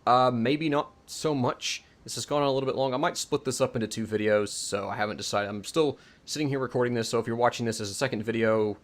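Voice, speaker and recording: A man's voice: medium pitch, quick pace, hardly any pauses. A man giving a casual, unscripted video game tutorial, talking informally and rambling as he corrects and second-guesses himself. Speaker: video host and mod creator demonstrating a game mod.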